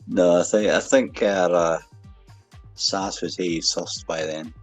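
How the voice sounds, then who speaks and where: A man's voice: sits low, steady tone, a little slow. A man in casual stream banter, easygoing and laughing along. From United Kingdom. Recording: dry close sound, faint music.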